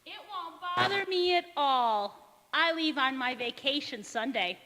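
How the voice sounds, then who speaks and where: A woman's voice: sits high, lively intonation, unhurried, pushed and bright, exaggerated voice. A woman playing a chirpy, obliging housemaid with sly timing in a 1940s radio comedy. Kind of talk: 1940s radio comedy acting, staged revival. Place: community theatre stage in a church. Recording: echoey hall, long reverb.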